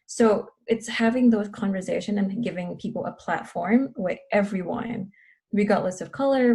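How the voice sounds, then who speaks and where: A woman researcher in a casual panel discussion, talking thoughtfully and pausing often with fillers. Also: mid-range voice, vocal fry, a little mellow, husky. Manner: thoughtful, pauses with many fillers. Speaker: researcher guest.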